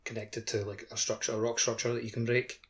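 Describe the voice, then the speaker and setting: A man on a podcast chatting casually, with halting, loose phrasing full of filler words. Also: mid-range voice, little pitch movement. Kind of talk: casual chat.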